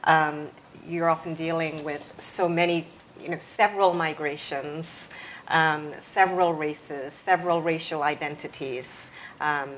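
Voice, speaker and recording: A woman with a low-pitched voice conducts a literary interview. She circles toward long questions in a tentative, self-deprecating way. Some noise sits behind her on the live recording. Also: steady tone, unhurried, low-quality recording. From West Indies. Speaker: interviewer, fellow novelist.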